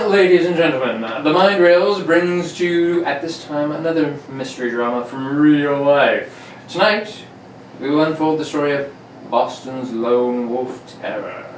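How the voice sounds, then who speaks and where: A host narrating an old-time radio play in a theatrical, scripted announcer style. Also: mid-range voice. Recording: echoey room, distant mic, faint noise.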